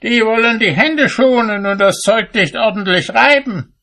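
A man voicing an irascible, fault-finding master cobbler in a storybook, shouting and scolding indignantly. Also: sits high, normal speed, voice pushed up.

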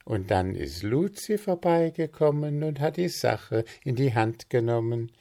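A man voicing a cheerful male gnome friend who teases gently, in a storybook reading. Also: mid-range voice, lively intonation, measured pace, pitched above the performer's own voice. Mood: teasing.

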